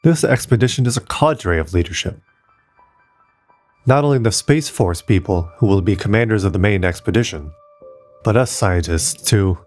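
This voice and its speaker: A man reading the part of an old, kindly, resigned male archaeologist in an audiobook, gentle and reflective. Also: lowish voice, lightly acted.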